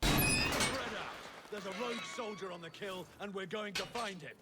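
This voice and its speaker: High, barking Roman soldier. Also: male voice, huge pitch swings, normal speed, very noisy, heavily acted. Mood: urgent, commanding. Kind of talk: dramatic video game acting.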